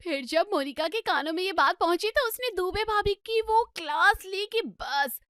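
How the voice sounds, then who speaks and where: Woman acting a high-pitched, nagging, gossipy housewife. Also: animated, normal speed, a bit bright, dry recording, studio quality. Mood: gossipy, excited. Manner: dramatic, nagging, exclamatory. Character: excitable gossipy scolding middle-aged Indian housewife and mother. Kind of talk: Hindi comedy story dramatisation.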